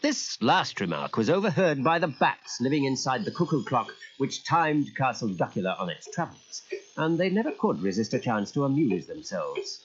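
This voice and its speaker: A man giving an animated narration of a children's story as a storyteller. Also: mid-range voice, normal speed, a little hiss or hum. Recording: muffled old audio cassette.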